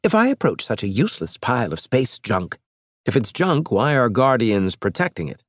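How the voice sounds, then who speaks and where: A man voicing a cunning, fussy alien male trader, raising his voice high and indignant. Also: very animated, normal speed, dry recording, clean studio sound.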